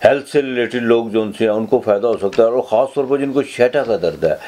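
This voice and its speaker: A man's voice: mid-range voice, quick pace, dark tone. A male astrologer giving an unscripted weekly horoscope, with frequent fillers and restarts.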